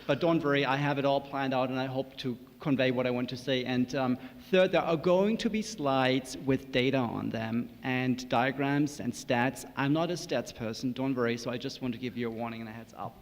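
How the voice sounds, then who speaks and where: A man's voice: medium pitch, moderate pace, hardly any pauses. A man from Germany with a German accent, speaking as a panel lecturer with joking, self-deprecating asides and many fillers. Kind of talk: public lecture talk. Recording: reverberant hall, faint noise.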